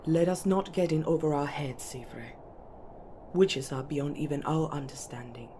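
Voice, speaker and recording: A woman playing a composed, commanding eldest elf warrior in a fantasy roleplay, speaking in a stern, formal, measured way. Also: low voice, mellow, a little hiss or hum. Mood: cautioning. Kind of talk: fantasy audio roleplay acting.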